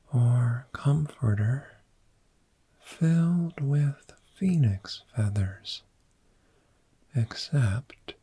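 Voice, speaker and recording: A performer voicing a friendly, soothing dragon in an ASMR roleplay, speaking in a gentle, breathy whisper and lingering on repeated words. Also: medium pitch, no room sound, full character voice. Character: friendly soothing dragon therapist showing a cozy hoard.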